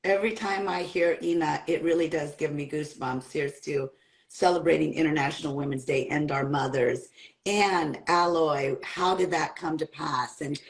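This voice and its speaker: Host type: woman, lowish voice, upbeat and welcoming, video call. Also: normal speed, vocal fry. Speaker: panel host, company founder. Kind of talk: hosting a live panel.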